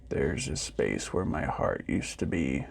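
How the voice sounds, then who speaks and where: Storybook robot, played by a male reader: very low, gravelly, slow, gentle and lonesome. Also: sing-song, exaggerated voice. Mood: gentle, tender. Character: gentle, sad, lonely old broken-hearted robot.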